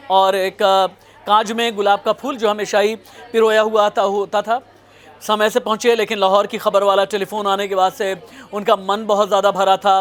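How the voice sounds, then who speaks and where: A man's voice: high-pitched, a bit bright. A man presenting history as a news host, narrating it as a dramatic story in a suspenseful, emphatic manner.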